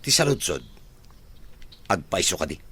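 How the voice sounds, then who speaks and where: A man's voice: sits low, very animated, moderate pace, pushed and bright, husky, heavily acted. A man playing a stern older brother in an Ilocano radio drama. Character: stern older brother scolding his student sister. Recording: radio drama with music bed.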